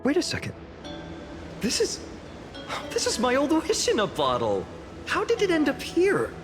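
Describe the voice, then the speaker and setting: An easygoing, wistful village youth in a fantasy game. The delivery is slow and very animated in pitch, with music behind. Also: full character voice. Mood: surprised.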